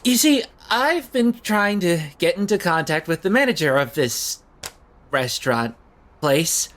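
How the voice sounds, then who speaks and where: A woman's voice: on the low side, animated, measured pace. A woman playing an awkward, nervous young job seeker in an audio drama, hesitant, stammering and rambling. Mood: defensive, explaining. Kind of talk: naturalistic audio drama acting.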